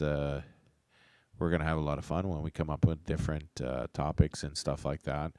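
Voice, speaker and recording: A man's voice: rumbling low, smooth, no room sound, clean studio sound. A man hosting a casual solo livestream chat, sounding stuffed-up and a little nasal, rambling and chuckling as he loses his train of thought. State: stuffed-up nose. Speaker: livestream host.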